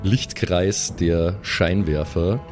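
Low-pitched man narrating over music. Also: extremely slow. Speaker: game master and narrator. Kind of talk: improvised story narration for a tabletop game.

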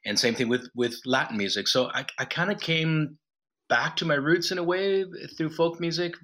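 A man from Mexico, a musician, talking over a phone line in an interview, relaxed and rambling with restarts. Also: on the high side, normal speed. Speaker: musician guest.

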